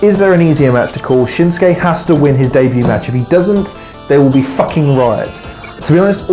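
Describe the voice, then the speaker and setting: A man's voice: medium pitch, darkish tone. Male podcast host, rambling and profane, stalls on words; faint music underneath. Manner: swearing, rambling, with fillers and trailing restarts. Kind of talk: solo podcast monologue.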